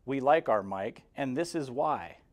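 A man in his forties reading a rhyming children's picture book aloud, expressively, in a measured sing-song with clear emphasis. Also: mid-range voice, lively intonation. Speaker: guest story reader for kindergarteners. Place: indoor room, seated in front of a banner. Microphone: lapel mic.

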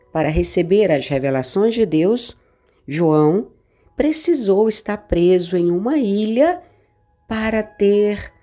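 A middle-aged Brazilian woman, a bishop, preaching a measured daily devotional sermon with emphatic rises and drops. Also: fairly low pitch. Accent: Brazilian Portuguese. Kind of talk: slow devotional sermon over music. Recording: voice-over with soft background music.

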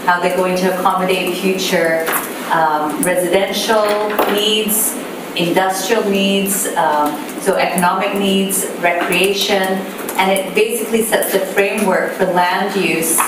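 Woman presenter, soft voice, measured delivery; distant mic in an echoey room with noise. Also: on the low side, fairly even intonation. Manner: measured, explanatory, with frequent fillers. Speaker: city planner presenting. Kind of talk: public meeting presentation. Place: council meeting room.

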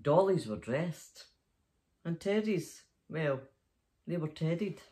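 A woman's voice: low voice, expressive, slow, warm tone. Chatty Scottish grandmother at storytime. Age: middle-aged or older, a grandmother. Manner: chatty, playful, addresses children directly. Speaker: host reading a children's story. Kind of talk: casual chat and storytime reading for children. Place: small bedroom, indoors.

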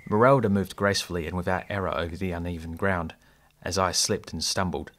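A man playing an earnest adventurer, narrating his tale in a measured, descriptive audio drama style. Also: low voice, a bit bright. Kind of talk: scripted first-person storytelling.

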